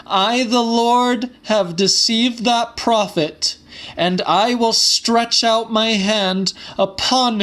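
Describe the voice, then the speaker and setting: A man's voice: high voice, a bit bright, voice pushed up. A man voicing a stern elderly woman who declaims fiery scripture in condemnation, in a dramatic audiobook. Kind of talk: dramatic audiobook character reading.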